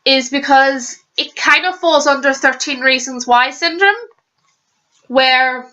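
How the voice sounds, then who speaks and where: A young woman talks to her channel in a loose, rambling book review, stuffed up with a cold. Her voice sits a little higher than most and has a bright, sharp edge. She trips over words and restarts sentences freely. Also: rough recording. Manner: rambling, chatty, with frequent restarts. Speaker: vlogger. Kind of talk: casual book review vlog.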